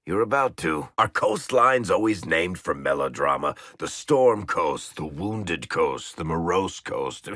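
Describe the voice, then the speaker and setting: A man's voice: low-pitched, expressive, unhurried, hardly any pauses, pushed and bright, dry recording, full character voice. A man voicing a wry dwarf storyteller and rogue in a video game, full of dry, sardonic quips. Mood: grumbling. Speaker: video game companion character.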